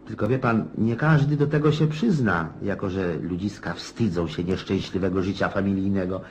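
An actor playing a garrulous, gloomy and pushy old retired Cossack officer in a radio play, rambling in a folksy, insistent way with hums and chuckles. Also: male voice, deep voice, normal speed, a little hiss or hum, lightly acted. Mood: grumbling, wry. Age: older.

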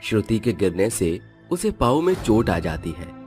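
A man gives dramatic scripted story narration. His voice is fairly low and lively, with music clearly behind it. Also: moderate pace.

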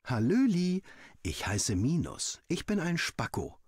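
Cheeky, teasing schoolboy for a storybook, read by a man, lifted pitch, husky edge. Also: big pitch swings, slow, no room sound, clean studio sound, heavily acted. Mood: mocking. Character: cheeky schoolboy pestering with silly questions.

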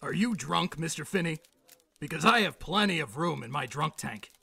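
Gruff raspy cop guy acting, not buying it, sharp and animated, radio drama. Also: a little high, normal speed, full character voice. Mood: skeptical, impatient. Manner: gruff, dismissive. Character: skeptical, tough male police officer.

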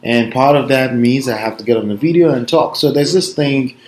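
A man's voice: mid-range voice, fairly quick, slight background noise. A man in his thirties with a strong Nigerian accent, talking energetically to a laptop webcam at home as a motivational business coach. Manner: energetic, emphatic, conversational with frequent tag questions. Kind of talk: motivational vlog talk. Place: bedroom or small room at home, indoors. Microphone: laptop webcam mic. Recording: roomy echo of a small room.

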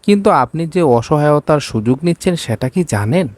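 A man's voice: fairly high pitch, lively intonation, normal speed, darkish tone, voice pushed up, close to an everyday voice. Man voicing a desperate, reproachful young woman. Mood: indignant. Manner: pleading, reproachful. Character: desperate, indignant young woman seeking a job.

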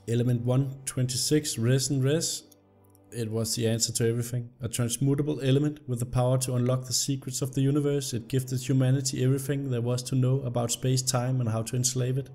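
A young man, slightly hoarse from illness, commenting on a game and reading its story text aloud. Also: medium pitch, brisk, soft background music. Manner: reads the story text aloud in an even narrating tone. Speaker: gameplay video host. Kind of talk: casual gameplay commentary.

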